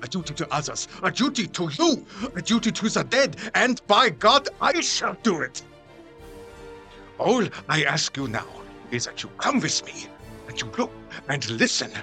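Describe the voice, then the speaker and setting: A man's voice: high voice, very animated, normal speed, pushed and bright, faint music behind, full character voice. A man playing a grave, insistent older professor and vampire hunter in an audio drama, speaking solemnly and emphatically in formal, stilted phrases. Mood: impassioned, then grave, persuasive.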